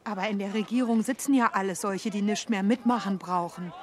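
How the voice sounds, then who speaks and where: An actress playing a resolute young refugee widow in a radio drama, with a blunt, dry, colloquial way of talking. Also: female voice, mid-range voice, a little fast, lightly acted. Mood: dry mockery.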